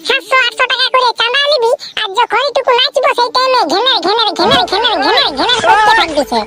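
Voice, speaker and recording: A man voicing a brash, bossy young village man in a comic cartoon, with a Purulia Bengali accent and an artificially pitched-up, chipmunk-like voice, blustering and repeating his words. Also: fast.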